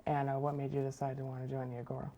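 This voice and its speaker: Young woman, super low voice, kinda raspy fry, soft and trailing off. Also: monotone, rapid-fire, slight background noise. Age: young adult. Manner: soft-spoken, trailing off quietly. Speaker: student journalist. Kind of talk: casual interview chat.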